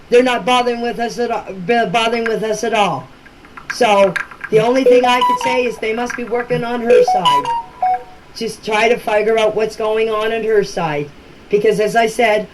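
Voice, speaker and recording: Older woman, noisy voice message. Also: fairly high pitch. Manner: plain-spoken, emphatic, repeats herself. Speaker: relative speaking about a missing-children case. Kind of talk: informal personal voice-message talk. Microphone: phone voice message. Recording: noisy amateur voice-message recording.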